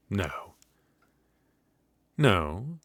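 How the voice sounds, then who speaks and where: A man voicing a cold, strict royal magic professor in an ASMR roleplay, lecturing in a measured, stern way into a close mic. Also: low voice, very animated, fairly bright tone, no room sound, heavily acted.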